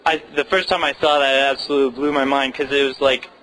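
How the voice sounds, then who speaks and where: A man's voice: medium pitch, steady tone, quick pace, pushed and bright, poor audio. A man hosting an interview, asking prepared questions in a slightly formal way.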